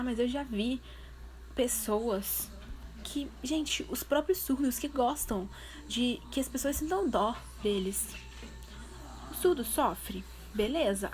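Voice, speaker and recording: A woman's voice: on the high side, slight background noise. Emphatic Brazilian woman vlogger. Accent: Brazilian Portuguese. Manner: emphatic, talks straight to viewers, repeats questions. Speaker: vlogger voicing her deaf partner's signing. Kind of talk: casual opinion vlog.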